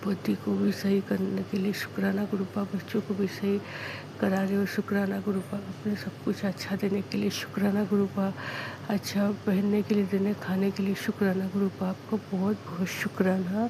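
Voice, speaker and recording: A hoarse woman speaks an earnest devotional testimony in halting, repeated phrases of thanks. Her voice has a gravelly fry and stays flat in pitch. The home recording carries clear background noise. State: sore throat, hoarse.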